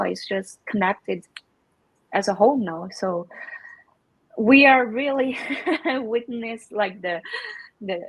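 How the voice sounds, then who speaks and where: A woman whose first language is Chinese, chatting as a guest on an online call, speaking haltingly with many restarts and repeated words. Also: medium pitch, creaky, husky, dry recording, poor audio. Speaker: guest, community manager. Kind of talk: casual panel chat.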